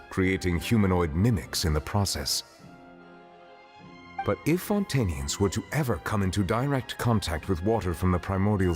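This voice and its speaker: An actor playing a solemn, composed man of authority in a game, explaining things formally and with measured care. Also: sits low. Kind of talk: game story dialogue, formal exposition.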